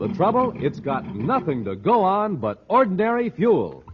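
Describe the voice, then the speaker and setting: A man working as a 1950s radio announcer, delivering a punchy, exuberant commercial pitch aimed at youngsters. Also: huge pitch swings, moderate pace, background noise. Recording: slightly muffled vintage radio recording.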